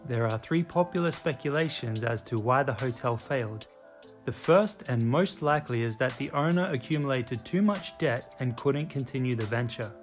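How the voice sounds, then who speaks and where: A man's voice: medium pitch, animated, moderate pace. A man reading a scripted voice-over for an exploration vlog, with a wry, storytelling manner. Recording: voice-over with music behind.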